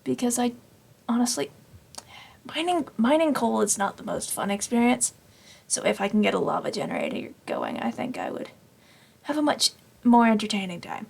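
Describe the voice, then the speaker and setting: A woman casually narrating her Minecraft game, rambling and self-deprecating, her voice slightly raspy from long talking. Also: medium pitch, big pitch swings, moderate pace. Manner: rambling, self-deprecating, talks to herself while playing. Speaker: gaming channel host. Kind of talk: casual Minecraft let's play commentary.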